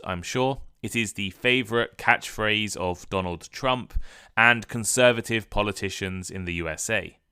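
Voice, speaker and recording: A man with a somewhat low voice narrates a scripted English lesson in a measured, deliberate way. His tone is bright and smooth, and he is recorded close on the mic in a dry room. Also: no echo. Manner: clear, measured delivery that sounds read from a script. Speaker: podcast host and English teacher.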